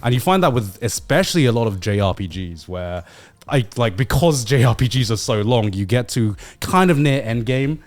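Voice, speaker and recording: A man's voice: mid-range voice, expressive, normal speed. Male podcast co-host, mid-range voice, earnest and rambling. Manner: earnest, explains with many "like"s. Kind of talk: casual chat.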